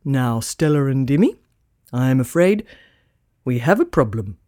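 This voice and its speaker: A woman's voice: very deep voice, big pitch swings, a little slow, mellow, dry recording, clean studio sound, pitched below the performer's own voice, heavily acted. A woman voicing a kindly, fretful old male Santa in a children's storybook with fussy, old-fashioned phrasing. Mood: worried.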